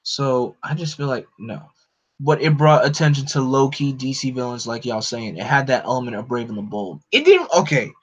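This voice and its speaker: A man hosting a casual live stream, talking in an opinionated, animated way that jumps up in pitch when he gets excited. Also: normal speed, breathy edge. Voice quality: no regional accent. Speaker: streamer host. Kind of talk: casual chat to a live audience. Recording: dull lo-fi home stream audio.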